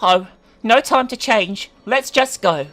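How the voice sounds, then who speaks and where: A narrator voicing a fussy, brisk suburban mother who chivvies impatiently, in a story reading. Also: medium pitch, animated, fairly bright tone, soft background music, voice pushed up. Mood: hurried.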